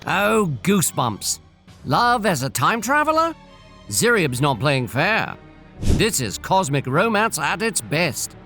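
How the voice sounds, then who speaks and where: A man's voice: on the high side, very animated, slow pace, bright, forceful tone, soft background music. A man telling a scripted story and adding playful, theatrical commentary as host.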